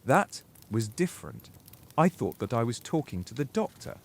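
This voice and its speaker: A man's voice: mid-range voice, sing-song, normal speed, gravelly. A man playing a bitter, mistrustful wizard in an audio drama, accusing and curt. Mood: defensive. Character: bitter, mistrustful, hot-tempered wizard rebel. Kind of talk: fan audio drama acting.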